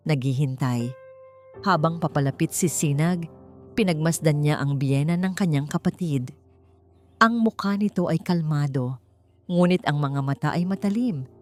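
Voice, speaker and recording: Low-voiced woman narrating drama. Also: expressive, moderate pace, a little mellow. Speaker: story narrator. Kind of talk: scripted dramatic narration of a love story. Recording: music behind the voice. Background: background music.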